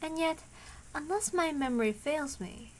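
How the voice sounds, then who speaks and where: A woman voicing a poised, whimsical woman from a visual novel, speaking formally and with arch, unhurried politeness. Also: sits high, lively intonation, slight background noise, pitched above the performer's own voice. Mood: arch, teasing. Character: poised, whimsical woman, coolly teasing a stranger. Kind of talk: visual novel read aloud.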